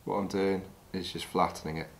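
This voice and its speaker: A middle-aged man from England explains fly tying step by step in a calm, practical way. His voice sits fairly low. He was recorded indoors in a wooden lodge, with a faint hum of room ambience behind him. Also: brisk, creaky. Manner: calm, practical, talks while working with his hands. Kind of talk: step-by-step demonstration.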